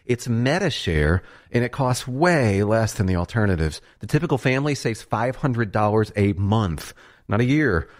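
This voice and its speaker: A man's voice: fairly low pitch, animated, moderate pace. Earnest male radio ad voice. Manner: earnest, persuasive. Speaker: commercial announcer. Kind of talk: scripted radio ad read.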